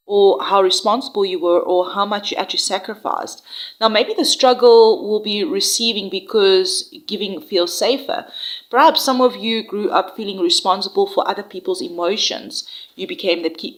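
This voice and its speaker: A woman doing a tarot reading in a gentle, reassuring way, with fillers and restarts, her voice nasal and congested from a flu. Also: medium pitch, rough recording. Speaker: tarot reader.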